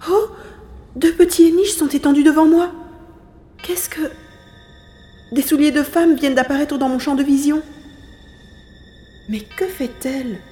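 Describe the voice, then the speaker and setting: A woman playing a determined, curious young hero in a science fiction audio drama, expressive and thinking aloud. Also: sits high, a little slow, long pauses, faint music behind. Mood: dazed, alarmed.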